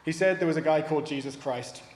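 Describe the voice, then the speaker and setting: A man's voice: on the high side, fast, darkish tone. A man with a New Zealand accent giving a prepared personal testimony at a church, in a plain, wry, self-deprecating way. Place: church hall. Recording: echoey hall with long reverb and faint ambience.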